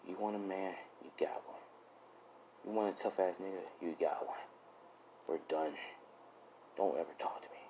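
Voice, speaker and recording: A man's voice: sits low, normal speed, pauses often, dark tone, a little hiss or hum, voice pushed down, low-quality recording. A man voicing an enraged, betrayed teenage superhero student who spits profane, menacing insults in an improvised story. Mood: cold, menacing.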